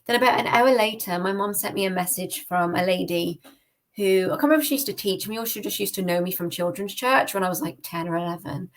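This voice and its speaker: A woman's voice: mid-range voice, quick pace. British woman sharing informal personal testimony. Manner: informal, peppers speech with "like". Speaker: podcast guest.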